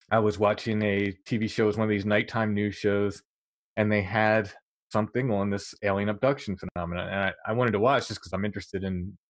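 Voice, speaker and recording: A middle-aged man recounting his experiences as an interview guest, halting and full of restarts. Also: fairly low pitch, no room sound. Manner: halting, many restarts and fillers, occasional laughs. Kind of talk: casual interview storytelling.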